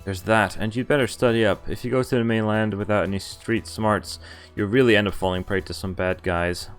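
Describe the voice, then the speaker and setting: A man's voice: fairly low pitch, fairly even intonation, fairly quick, clear, resonant tone. A man streaming a game, casually reading its text aloud and adding laid-back, wry asides. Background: game music.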